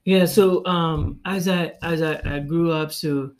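Higher-voiced Congolese man, thoughtful and halting. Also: fairly even intonation. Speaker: guest, pastor. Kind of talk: reflective interview answers.

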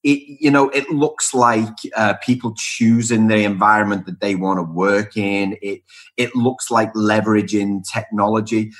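A man's voice: on the low side, level tone, normal speed. A middle-aged man from Liverpool, England, answering conversationally as an interview guest, with emphatic repeated phrases and lists. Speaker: podcast guest, speaker and author on workplace culture.

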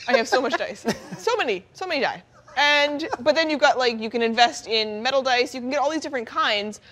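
Woman, slightly high pitch, casual chat, enthusiastic, playfully combative. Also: animated, fairly quick, fairly bright tone. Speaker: panelist on a tabletop gaming talk show.

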